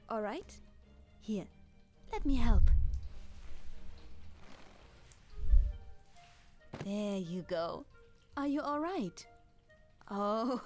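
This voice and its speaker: A woman playing a kind, clumsy, centuries-old dragoness baker in an ASMR roleplay, with a German accent and gentle, apologetic, formal, halting speech. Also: fairly high pitch. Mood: apologetic, caring. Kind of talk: ASMR roleplay, in-character monologue to the listener. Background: music.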